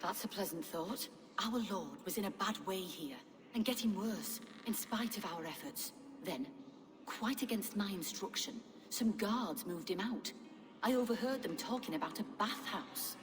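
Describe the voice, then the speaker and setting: Woman as measured, devoted nursing sister, reverberant. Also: medium pitch, lively intonation, close to an everyday voice. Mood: concerned. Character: gently eerie, devoted medieval nursing sister. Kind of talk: dramatic video game acting. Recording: long hall-like reverb.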